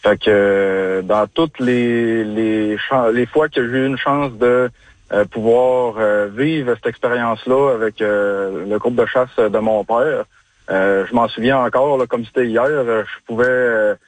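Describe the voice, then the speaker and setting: A young man from Quebec with a Quebec French accent, talking casually as a radio guest, halting with many pauses and restarts. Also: mid-range voice, steady tone, no echo. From Chaudière-Appalaches, Quebec. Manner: halting, frequent hesitations and restarts. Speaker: guest, bloodhound handler.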